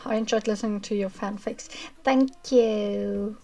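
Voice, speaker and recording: A woman streamer chatting casually with her viewers as she wraps up a livestream, rambling with fillers and a self-described monotonous voice. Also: medium pitch, unhurried. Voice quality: monotonous reading voice. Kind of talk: casual chat ending a livestream.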